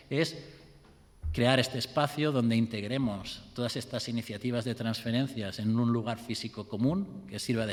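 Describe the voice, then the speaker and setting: A man giving a formal, measured conference talk as an institute director. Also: medium pitch, in a large hall.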